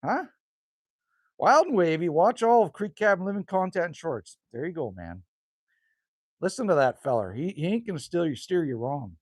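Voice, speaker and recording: A man's voice: on the high side, very animated, moderate pace, no echo, clean studio sound. A man, chatting casually and rambling with viewers as a livestream host outdoors by a rural cabin. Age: 50s. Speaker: livestream host reading chat.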